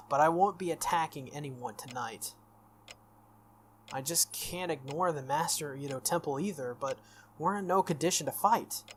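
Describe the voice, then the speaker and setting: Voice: mid-range voice, fairly bright tone, a little hiss or hum, close to an everyday voice. A game streamer voicing an earnest, protective, stubborn young man who scolds bluntly in a visual novel. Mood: firm, apologetic.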